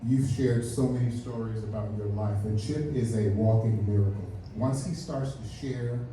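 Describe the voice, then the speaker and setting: A man giving an excited, heartfelt congratulatory speech at a ceremony. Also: fairly low pitch, moderate pace, a crowd in the background. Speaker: ceremony speaker. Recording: echoey hall, mic far off, faint noise.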